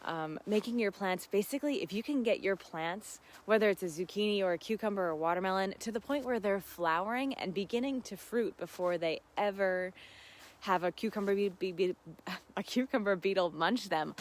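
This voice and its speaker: A woman's voice: mid-range voice, moderate pace. An American woman from Ohio hosting a casual live gardening Q&A, answering viewers in an effusive, exclamatory, giggly manner. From Ohio, United States. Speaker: host of a live gardening Q&A, seed company owner.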